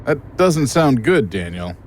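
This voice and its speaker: Man acting wry adventurer, very lively pitch. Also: takes it slowly. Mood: wry concern. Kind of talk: audio drama acting.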